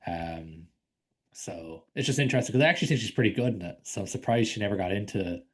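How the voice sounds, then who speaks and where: Man, low voice, podcast co-host, rambling, lots of filler words, casual swearing. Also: animated, quick pace, husky. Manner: rambling, frequent ums and likes, swears casually. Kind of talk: casual film discussion.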